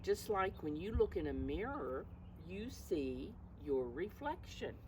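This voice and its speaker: Female preschool storyteller, teacherly and simple, very varied intonation, recorded in a backyard with clear ambient noise. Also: lowish voice, big pitch swings, takes it slowly, darkish tone. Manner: simple teacherly phrasing, questions to young listeners. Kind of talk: picture-book read-aloud with explanations and questions. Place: outdoors in a backyard. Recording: clear outdoor background noise.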